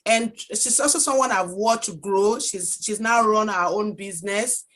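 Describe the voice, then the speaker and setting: A woman informally moderating an online workshop as host, speaking in a halting, distracted way on a video call. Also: medium pitch, a bit bright.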